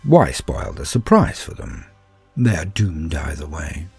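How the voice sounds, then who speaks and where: A man playing a scheming, fanatical villain of a dark crusade, gravelly and breathy, in a slow, contemptuous storybook monologue. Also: a bass voice, very animated, no room sound, heavily acted. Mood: smug, contemptuous. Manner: slow, menacing, savouring pauses. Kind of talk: dramatic first-person villain monologue in audio story. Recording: music bed under monologue.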